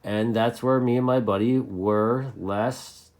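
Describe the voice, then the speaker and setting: A man's voice: medium pitch, fairly even intonation, slow, darkish tone. An American man chatting casually and unscripted as a hobbyist video host, rambling along and repeating phrases for emphasis.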